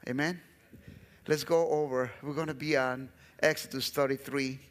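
A man's voice: mid-range voice, steady tone, normal speed. Guest pastor, Guatemalan man, middle-aged, Spanish-accented English, mid-range voice, earnest and repetitive, in a reverberant sanctuary. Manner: earnest, repeats phrases, walks the stage. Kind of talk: sermon. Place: large church stage. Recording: long church hall reverb.

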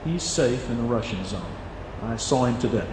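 An actor playing an earnest, stubborn writer in a radio drama, plain-spoken and terse. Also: male voice, medium pitch, animated, moderate pace, noisy background, natural voice. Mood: evasive, brisk. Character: earnest, stubborn American writer, narrating his own story. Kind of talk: radio drama acting and first-person narration.

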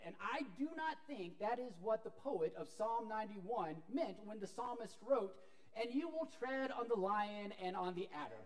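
A middle-aged man preaching a sermon as a pastor, telling an animated story while moving about a church sanctuary. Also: high-pitched, poor audio. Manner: animated storytelling with wide gestures, walking about.

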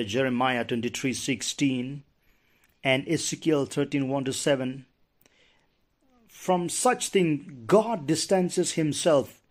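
A man's voice: fairly high pitch, darkish tone. A middle-aged man preaching an impassioned sermon as a pastor, with repeated restarts and rising emphasis, recorded on a lapel mic. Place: wood-paneled church pulpit indoors, later outdoors on a hillside.

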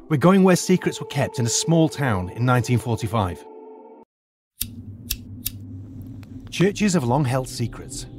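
An actor playing a retired archaeologist turned high school teacher, measured and lecturing in an audio drama. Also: male voice, a little mellow, a little hiss or hum, natural voice.

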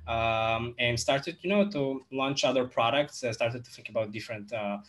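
A man's voice: medium pitch, normal speed, fairly bright tone. A young man from Moldova, a guest entrepreneur, talking casually on a video call in a home office with many fillers and restarts.

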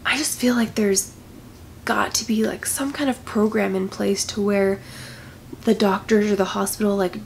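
A young woman vlogs to her camera from a small room, her voice stuffy and nasal with a cold. She speaks in a slow, halting, sorrowful way, with a gravelly edge under her mid-pitched voice. A faint hiss sits behind her. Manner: quiet, heavy, grieving, slow and halting. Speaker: vlogger. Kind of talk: personal vlog, talking to camera. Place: indoors, small room with patterned backdrop. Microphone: camera in front, head-and-shoulders framing.

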